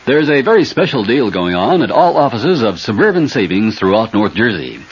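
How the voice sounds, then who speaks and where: An American man announcing a scripted 1970s radio bank advertisement with a husky voice. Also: fairly low pitch, big pitch swings, moderate pace. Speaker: commercial announcer. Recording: dull old radio broadcast.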